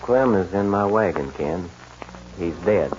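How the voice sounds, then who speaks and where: An actor playing a loyal, worried cowhand neighbor in an old-time radio western, speaking hesitantly and earnestly. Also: male voice, sits low, expressive, unhurried, a little mellow. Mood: grave, hesitant. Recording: muffled, noisy vintage radio recording.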